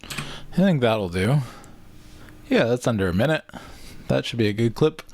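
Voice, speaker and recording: A man's voice: medium pitch, sing-song, measured pace, darkish tone. A man talking casually as a vlogger, informally thinking aloud while he works. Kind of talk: casual vlog talk.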